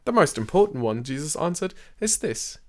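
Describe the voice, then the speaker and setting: A man giving scripted documentary narration for a podcast. He tells it in a measured way and lifts his voice for quoted speech. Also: fairly high pitch, big pitch swings.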